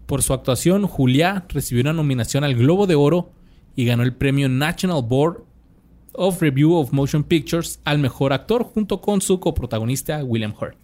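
A man's voice: a little high, lively intonation, moderate pace, darkish tone. A young adult man with a Mexican accent, casually reading out a biography on a podcast with slangy asides. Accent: Mexican Spanish. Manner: laid-back, slangy, reads from notes with restarts. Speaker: podcast host.

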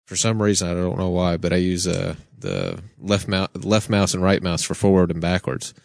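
Guy with a really deep voice just chatting as a podcast guest. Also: moderate pace, gravelly, darkish tone. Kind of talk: casual chat. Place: gaming convention, live podcast.

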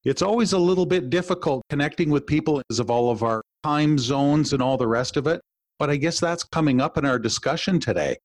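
A man's voice: fairly high pitch, fairly quick, dry recording, studio quality. A male podcast host and executive coach, enthusiastic, asking long, wind-up questions of his guest. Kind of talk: podcast interview.

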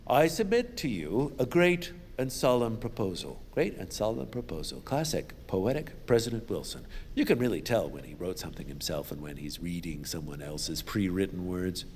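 A smug senator with swooping delivery. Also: male voice, fairly low pitch, darkish tone. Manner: sarcastic, gloating, folksy showmanship. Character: smug, mocking American senator, majority leader, 1910s. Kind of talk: audio drama speech, theatrical letter reading. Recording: echoey senate hall, background noise.

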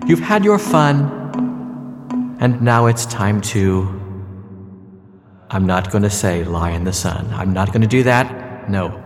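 Low-voiced wry man, podcast host. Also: expressive, takes it slowly, long pauses, music in the background. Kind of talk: casual tech chat.

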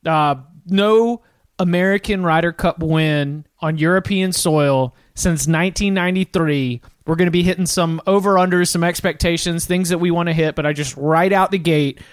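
A man's voice: fairly high pitch, normal speed, clear, resonant tone, no room sound. A man hosting a sports podcast in an upbeat, casual way, setting up topics for his co-host. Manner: upbeat, hypes the show.

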